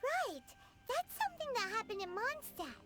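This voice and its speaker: Squeaky, excitable little fairy. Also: female voice, moderate pace, soft background music, exaggerated voice. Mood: earnest, eager. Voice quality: squeaky, childlike. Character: tiny childlike fairy companion, earnest and excitable. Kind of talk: scripted game cartoon-like acting.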